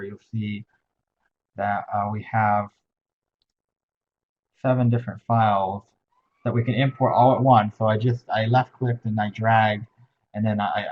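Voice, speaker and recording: A soft-spoken young man teaching a webinar tutorial, halting with frequent fillers as he walks through the steps, recorded through a webcam microphone. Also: medium pitch. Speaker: teaching assistant. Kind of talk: webinar screen-share tutorial. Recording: muffled webcam audio with faint hiss.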